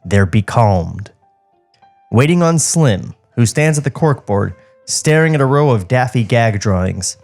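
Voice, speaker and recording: A man's voice: medium pitch, lively intonation, a little slow, a little mellow, faint music behind. A man narrating stage directions from a script at a podcast table read. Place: podcast studio.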